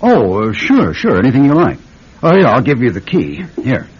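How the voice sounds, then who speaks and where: Flustered, husky-voiced evasive husband. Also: male voice, mid-range voice, huge pitch swings, moderate pace, heavily acted. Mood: nervous, hesitant. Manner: hesitant, stammering, polite. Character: flustered, evasive husband, a stage actor hiding something. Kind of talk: vintage radio drama acting. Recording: dull lo-fi classic radio recording.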